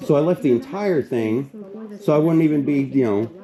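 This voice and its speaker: A loud, blunt man who talks with a slight stutter and lets his voice swing widely up and down. He chats casually with neighbours before a public meeting. Chatter from a crowded room carries behind him. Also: medium pitch, lively intonation, normal speed. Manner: blunt, joking, restless. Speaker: meeting attendee. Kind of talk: casual chat.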